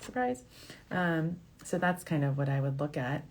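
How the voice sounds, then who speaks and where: Woman, deep-pitched voice, doctor host, informal advice talk, conversational, candid, reassuring. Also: lively intonation, moderate pace, darkish tone. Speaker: fertility doctor hosting a question-and-answer show. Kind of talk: informal advice talk, answering viewer questions.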